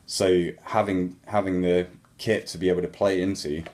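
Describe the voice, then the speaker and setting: A young man, a musician, answering viewer questions in a relaxed, rambling way, with many ums and restarts. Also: deep voice, moderate pace. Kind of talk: casual Q&A chat.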